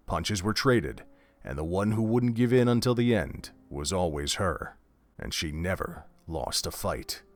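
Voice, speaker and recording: A man narrating an audio drama in an expressive storybook manner. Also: low-pitched, unhurried. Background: faint music.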